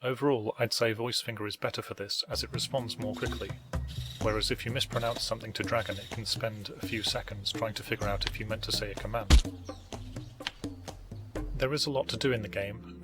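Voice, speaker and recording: A man narrates a gameplay tutorial in a fairly low voice. His delivery is scripted and measured, explaining things step by step. Music plays clearly behind him. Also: steady tone.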